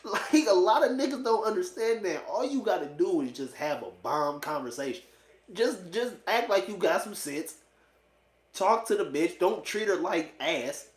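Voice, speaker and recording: Echoey, higher-voiced man in his late twenties. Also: lively intonation, normal speed. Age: late 20s. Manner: blunt, slangy, profane; repeats phrases for emphasis. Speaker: vlogger giving dating opinions. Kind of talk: casual, unscripted opinion monologue. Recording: echoey, roomy sound.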